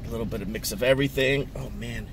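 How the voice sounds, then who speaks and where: A man's voice: mid-range voice, fast talker, recorded from a distance. A middle-aged man whose first language is Portuguese, chatting casually and rambling to a dashboard camera from his car. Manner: rambling, animated, many restarts and fillers. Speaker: vlogger. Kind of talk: casual chat while driving. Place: parked and driving car. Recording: car and street noise behind.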